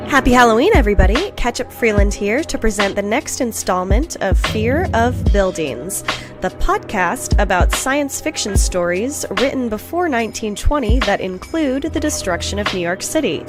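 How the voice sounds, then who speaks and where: Female podcast host for a scripted intro, mid-pitched, music bed behind. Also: expressive, normal speed. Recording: music under the voice.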